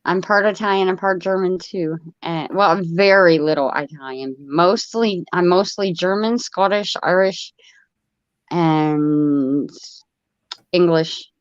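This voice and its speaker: A woman's voice: on the low side, slow pace, clear, resonant tone, no room sound. A middle-aged American woman with an Ohio accent and a trace of Florida, rambling and laughing often as she chats on a live stream. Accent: Ohio, with some Florida. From United States. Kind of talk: casual live stream chat. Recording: dull, dry lo-fi mic.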